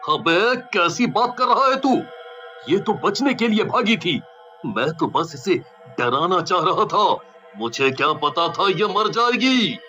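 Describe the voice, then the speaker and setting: A man's voice: high voice, very animated, a little slow, pushed and bright, full character voice. A man playing a brazen, bullying young village thug in a cartoon story, with a lecherous, scoffing manner. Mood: defensive. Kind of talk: Hindi cartoon story voice acting. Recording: dull lo-fi voice over music.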